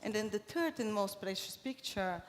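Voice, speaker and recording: A woman's voice: mid-range voice, lively intonation, normal speed. A middle-aged woman from Bulgaria, speaking English as a second language and giving a conference presentation, with frequent fillers and restarts. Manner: hesitant, many fillers and restarts. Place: large conference hall. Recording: reverberant hall, faint noise.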